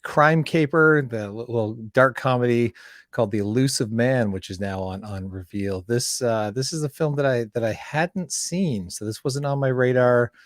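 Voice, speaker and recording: A man from Canada hosting a casual interview, speaking haltingly and repeating words as he goes. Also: mid-range voice, dry recording, studio quality. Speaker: show host and interviewer.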